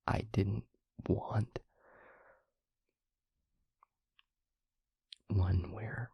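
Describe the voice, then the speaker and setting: A very husky, breathy vampire boyfriend, acted by a man, confides in a slow, halting half-whisper. His low voice crackles with gravelly fry, brooding yet gentle, as in an intimate audio roleplay. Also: dry recording, exaggerated voice. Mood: haunted, sorrowful. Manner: halting, hushed, earnest, with long pauses. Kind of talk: intimate audio roleplay, soft confessional monologue.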